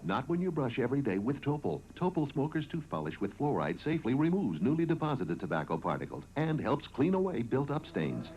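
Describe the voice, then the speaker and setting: A man's voice: medium pitch, very animated, a little fast, hardly any pauses, darkish tone, breathy edge, low-quality recording. A man reading a scripted advertising voice-over as a commercial announcer.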